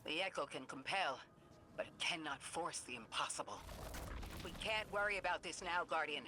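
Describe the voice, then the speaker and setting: A performer playing a grave, authoritative leader and strategist in a video game drama, speaking deliberately. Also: mid-range voice, background noise, lightly acted. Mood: commanding.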